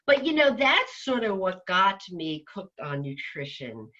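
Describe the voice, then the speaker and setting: A woman with a New Jersey accent, guest on a casual interview, enthusiastic and lively as she tells about herself. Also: mid-range voice, unhurried, muffled recording. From New Jersey, USA. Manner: enthusiastic, talks with her hands. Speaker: guest, dietitian. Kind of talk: animated interview chat.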